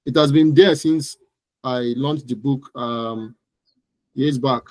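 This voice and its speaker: A man's voice: medium pitch, slow pace, darkish tone, dry recording. A man with a Nigerian accent speaking as an expert guest in a conversational interview.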